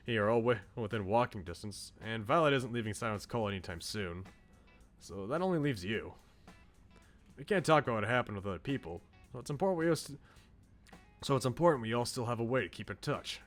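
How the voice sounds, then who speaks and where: A man's voice: medium pitch, animated, a little fast, faint music behind, close to an everyday voice. A man voicing a laid-back, loyal young guy in a visual novel, casual and earnest with slangy talk.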